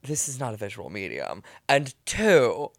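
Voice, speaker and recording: A man hosting a podcast, chatting casually and teasing his co-hosts with quick playful asides. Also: medium pitch, wildly swooping pitch, a bit bright, no room sound, clean studio sound. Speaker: podcast host.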